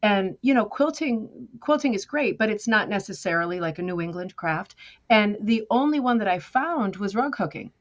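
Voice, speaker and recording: A woman's voice: medium pitch, expressive, normal speed, lo-fi sound. An American woman telling her story as a guest in a conversational interview, chatty and self-deprecating. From United States. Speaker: guest artist.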